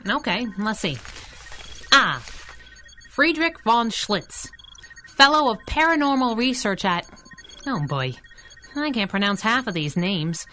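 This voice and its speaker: Plucky earnest sidekick in old radio serial, lively swoopy voice, noisy background. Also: female voice, a little high, slow pace, pauses often, full character voice. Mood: curious, wry. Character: plucky, frank young heroine sidekick. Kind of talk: 1930s radio adventure serial acting.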